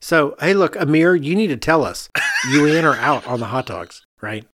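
A man hosts a casual podcast chat. His pitch moves around in a lively way and his delivery is animated and joking. The sound is dry, with very little room in it. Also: moderate pace, dry recording. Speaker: podcast host.

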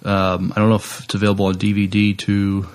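A man's voice: on the low side, monotone, fairly quick. A man co-hosting a casual podcast chat, chiming in with brief, hesitant remarks.

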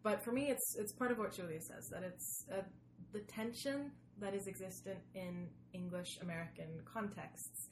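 A woman's voice: mid-range voice, moderate pace. A woman from Sweden, chatting casually as an interview guest, searching for words and repeating for emphasis. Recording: echoey room with background noise.

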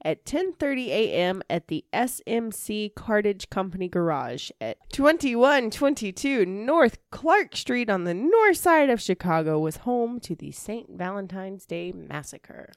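A woman's voice: medium pitch, very animated, measured pace, few pauses, smooth, no room sound. Playful woman co-hosting, close dry sound. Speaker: podcast co-host. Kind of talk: casual true-crime storytelling among friends.

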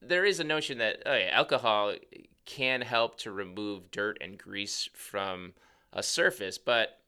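A man co-hosting a podcast as a cosmetic scientist, with a gravelly voice, explaining things in a relaxed, chatty way. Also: lowish voice, measured pace. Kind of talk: casual chat.

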